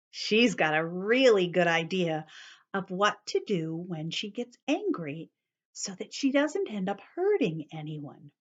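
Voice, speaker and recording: A woman's voice: fairly low pitch, lo-fi sound. A woman reading a picture book aloud to young children, explaining words as she goes and sometimes dropping to a whisper. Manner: drops to a whisper at times. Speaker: children's storyteller.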